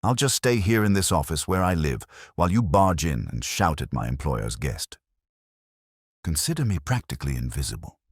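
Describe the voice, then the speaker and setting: A man's voice: a bass voice, very animated, moderate pace, slightly rough, no echo, studio quality, exaggerated voice. A man voicing a wisecracking, unflappable detective's assistant in an audiobook, sarcastic and cheeky.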